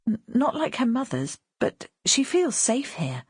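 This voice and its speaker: Woman narrating a novel, slightly husky, close and dry. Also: fairly high pitch, big pitch swings, measured pace, dry recording. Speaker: audiobook narrator. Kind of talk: scripted literary narration, dramatic storytelling.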